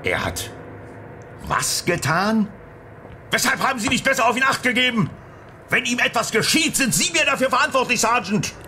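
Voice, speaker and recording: An actor playing a harried, commanding army general in a children's audio drama. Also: male voice, very high-pitched, sing-song, moderate pace, pauses often, pushed and bright, a little hiss or hum, exaggerated voice. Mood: agitated. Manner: commanding, exasperated.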